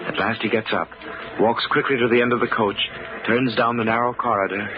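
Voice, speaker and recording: A man's voice: mid-range voice, steady tone, natural voice. An actor as an ominous male storyteller, giving solemn, theatrical narration in an old-time radio drama. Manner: portentous, theatrical, deliberate. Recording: dull old radio recording.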